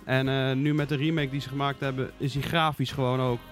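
A man's voice: mid-range voice, little pitch movement, fairly quick, faint music behind. A man chatting casually and enthusiastically about games as a podcast reviewer. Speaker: game reviewer on podcast panel.

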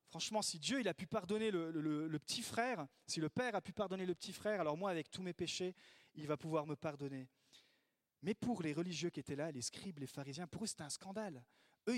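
A man preaching a sermon in a lively way, acting out the voices he quotes. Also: high-pitched, no echo. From Italy. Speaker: pastor.

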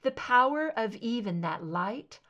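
A woman's voice: a little high, animated, unhurried, fairly bright tone, dull audio. A woman reading a scripted story aloud as an amateur audiobook narrator.

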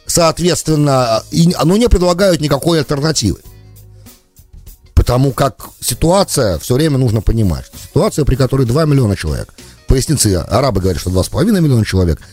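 A man's voice: fairly low pitch, dark tone. A man hosting a political talk radio show, speaking in a rambling, argumentative monologue that is full of self-corrections.

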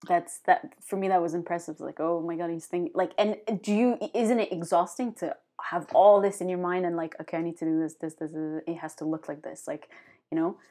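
A young woman with a slightly low voice hosts a casual podcast chat. Her questions wander, and she fills them with "like" and "you know" while she hesitates. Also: fairly even intonation, brisk, warm tone. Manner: rambling, heavy "like" fillers, hesitant. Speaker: podcast host.

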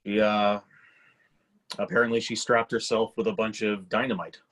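A man's voice: lowish voice, level tone, moderate pace, fairly bright tone. A man acting a sceptical, blunt grown son in a staged screenplay reading, speaking in a dry, matter-of-fact way.

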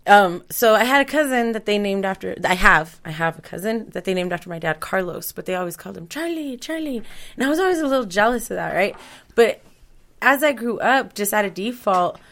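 A young woman from Denver hosting a podcast, relaxed and easygoing in casual chat. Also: medium pitch, brisk. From Denver, USA. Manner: relaxed, easygoing, with many fillers. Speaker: podcast host.

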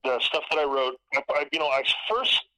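Man from california in his 50s, bright voice, reflective storyteller, lots of restarts. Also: a little high, animated, a little fast, poor audio. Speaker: guest. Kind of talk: casual conversation.

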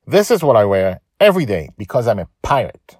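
A man's voice: on the low side, very animated, a little fast, darkish tone, breathy edge, dry recording, clean studio sound, voice pushed up. A man voicing a defiant, loyal eight-year-old pirate girl in a storybook reading, bold, proud and emphatic.

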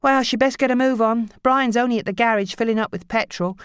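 A woman's voice: fairly high pitch, a little fast, clean tone, no room sound, studio quality, pitched above the performer's own voice, lightly acted. A woman voicing an exasperated, bossy middle-aged mother in an audiobook novel, nagging and scolding. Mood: impatient, bossy.

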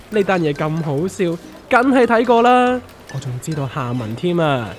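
A man's voice: a little high, sing-song, measured pace. A man with a Hong Kong Cantonese accent playing a wry, well-informed, teasing friend in a comedic radio drama. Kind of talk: scripted comedic dialogue. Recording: music under the voice.

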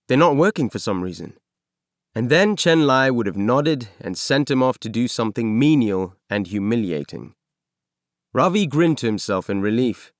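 A man reading a science fiction novel aloud as scripted narration, in measured, expressive storytelling. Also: mid-range voice, no echo, clean studio sound. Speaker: audiobook narrator.

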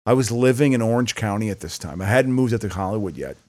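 A middle-aged man from Chicago whose voice swings up and down with lively, animated pitch. He tells rambling stories in a casual chat, often restarting his sentences with stammers. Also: fast. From Chicago, USA. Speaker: podcast co-host.

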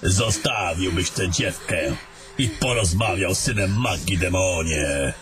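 A man's voice: low-pitched, a little slow, background noise. A man playing a scornful, domineering battle mage in a fantasy audio drama, with a commanding and coarse way of talking. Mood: defiant, contemptuous.

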